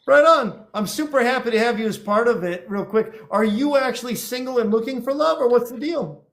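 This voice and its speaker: Upbeat high-voiced male live-stream host. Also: a little fast, fairly bright tone. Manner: upbeat, encouraging, chatty. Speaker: host of a live dating show. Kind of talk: live-stream chat, reading viewer comments.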